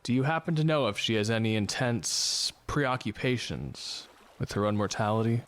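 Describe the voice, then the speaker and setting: An actor playing a gloomy, philosophical man on a ship in an audio drama, with a solemn deadpan delivery. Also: fairly low pitch, normal speed, natural voice. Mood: somber, musing. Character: gloomy philosophical ship's man, fatalistic and slow to romance.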